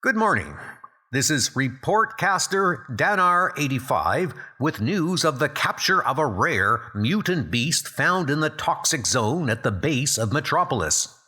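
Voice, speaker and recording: A man voicing an excitable futuristic TV reporter in a comic book dub, proclaiming like an announcer. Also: on the high side, unhurried, slightly rough, pitched above the performer's own voice, full character voice. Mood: eager. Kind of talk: comic book audio drama.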